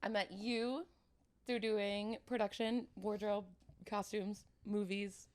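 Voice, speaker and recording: A woman's voice: medium pitch, level tone, takes it slowly, a little mellow. A woman in her twenties from Wisconsin, a podcast guest, chatting with playful dry quips. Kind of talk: casual chat.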